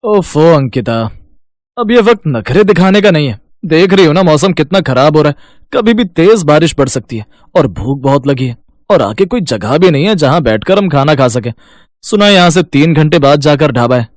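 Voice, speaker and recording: A man's voice: on the high side, expressive, moderate pace, no echo, clean studio sound, close to an everyday voice. A man playing a practical, rich city husband and father who reasons in an exasperated but polite manner in a cartoon story.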